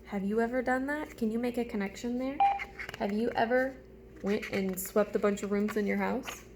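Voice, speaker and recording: High, creaky-voiced woman teacher reading to children. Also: moderate pace, darkish tone, a little hiss or hum. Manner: addresses children directly with simple questions and step-by-step prompts. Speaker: teacher reading aloud to pupils. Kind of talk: storybook read-aloud with chatty asides and instructions for children.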